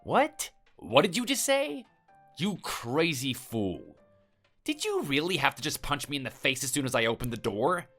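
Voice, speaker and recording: A man's voice: fairly high pitch, very animated, moderate pace, bright, forceful tone, soft background music, heavily acted. A man putting on a whimpering, cowardly neighbor. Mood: indignant. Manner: stammering, pleading, apologetic. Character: cowardly, whining male neighbor who grovels.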